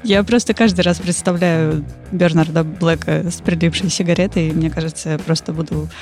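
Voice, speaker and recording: A woman's voice: low voice, fairly even intonation, fairly quick, darkish tone. A woman chatting casually as co-host of a music podcast. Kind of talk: casual chat.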